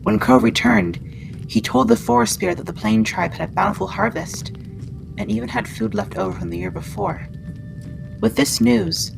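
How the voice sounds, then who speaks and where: Casting: actress as a caring female wendigo for ASMR roleplay, heavy vocal fry, gentle delivery, clear music bed. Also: mid-range voice, rough recording.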